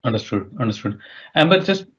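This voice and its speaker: Guy hosting a webinar q&a, average pitch, polite careful questions, bit of a muffled lo-fi sound. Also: big pitch swings, fairly quick, husky. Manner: polite, tentative phrasing of questions. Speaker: webinar moderator relaying questions. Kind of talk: moderated Q&A. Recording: dull, lo-fi online call audio.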